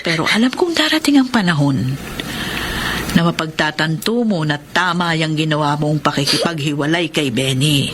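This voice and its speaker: A low-voiced soothing mother. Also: lively intonation, moderate pace, noisy background. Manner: soothing, earnest counsel. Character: gentle mother advising her daughter. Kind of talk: radio drama acting.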